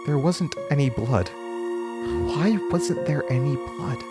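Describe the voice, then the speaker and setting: A man's voice: a little high, animated, slow pace. A man playing a shaken young college student, narrating a horror audio drama in a dramatic, haunted way that stammers under stress. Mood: horrified. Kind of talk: horror audio drama first-person narration, acting out dialogue. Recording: music bed under the voice. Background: music.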